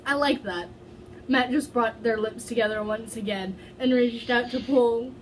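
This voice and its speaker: A woman with a fairly high voice reads aloud in an amateur dramatic style. She is giggly and trips over words, dropping into casual asides. There is faint noise behind her. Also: poor audio. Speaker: fan fiction reader.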